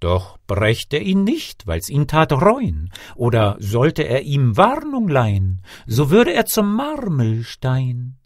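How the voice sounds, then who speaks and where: A man's voice: medium pitch, wildly swooping pitch, no room sound, studio quality, voice pushed up, exaggerated voice. A man voicing a mournful male dove who prophesies doom in portentous rhyme, in a storybook reading. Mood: ominous lament. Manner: slow, rhyming, portentous.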